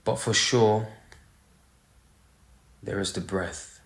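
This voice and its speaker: A man with a deep voice leads a guided meditation in a roomy, echoing space. He speaks very slowly, setting out short phrases and leaving pauses between them. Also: vocal fry. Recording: roomy, echoey sound.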